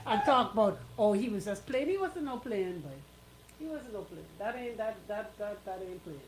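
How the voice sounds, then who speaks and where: A woman's voice: mid-range voice, animated, normal speed, a little hiss or hum. A woman with a Bahamian accent co-hosting casual comic radio banter, playful and exclamatory, often echoing words. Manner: playful, exclamatory, echoes the other speaker's words. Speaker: radio co-host.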